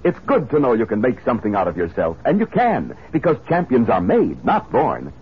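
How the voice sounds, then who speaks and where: A man delivering a 1950s radio advertisement in punchy, upbeat patter as a pitchman. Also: wildly swooping pitch, a little fast, a little hiss or hum. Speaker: commercial pitchman. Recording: muffled old radio broadcast.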